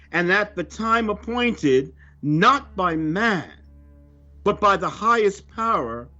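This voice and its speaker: A man reading a scripted religious report aloud in a solemn, deliberate, emphatic manner. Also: a little high. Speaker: religious reader of a prophecy report. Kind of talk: scripted narration, read aloud. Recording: dull lo-fi audio under music. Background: music.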